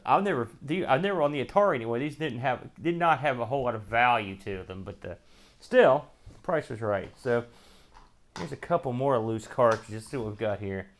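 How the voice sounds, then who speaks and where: A man's voice: medium pitch, a bit bright. Male show host, mid-range voice, casual unboxing commentary, rambles and mutters to himself while handling things. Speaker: host of a retro computer show.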